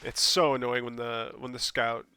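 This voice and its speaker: Bright-voiced male caster with a cold. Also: medium pitch, moderate pace, clean tone, no room sound, clean studio sound. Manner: casual, rambling, with frequent ums and self-corrections; bursts of excitement at plays. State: stuffy, scratchy voice from a cold. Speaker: game caster. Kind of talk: solo replay commentary.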